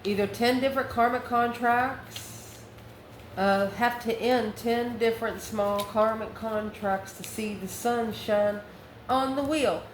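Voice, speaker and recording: A woman's voice: a little high, fairly even intonation, takes it slowly. Woman reading tarot in an echoey, noisy room. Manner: mystical, addresses the listener directly and affectionately. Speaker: tarot reader, host. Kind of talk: casual spoken tarot card reading to camera.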